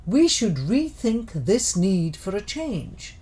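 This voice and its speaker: An outspoken storybook crow, male and critical, argues his case to the other birds through a woman reader's voice. The pitch moves in a lively way as he persuades. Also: slow. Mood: persuasive.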